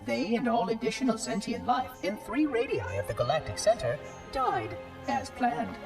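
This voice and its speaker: A fussy know-it-all robot ai, high lilting voice, game music behind. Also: male voice, very animated, moderate pace, vocal fry, distant mic, exaggerated voice. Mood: lecturing. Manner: precise, matter-of-fact lecturing. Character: ancient prim robotic AI, helpful and pedantic.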